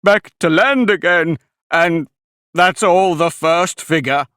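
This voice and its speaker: A man voicing a weepy, melancholy male sea creature who talks slowly and mournfully. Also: fairly high pitch, expressive, a bit bright, no room sound, studio quality, pitched above the performer's own voice. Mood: excited. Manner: slow, drawn-out and mournful.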